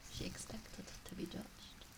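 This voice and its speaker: A woman voicing a gentle fox witch, telling a wistful tale in soft, breathy, often whispered ASMR storytelling. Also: very noisy, lightly acted. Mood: tender, wistful. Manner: hushed, breathy, measured with long pauses.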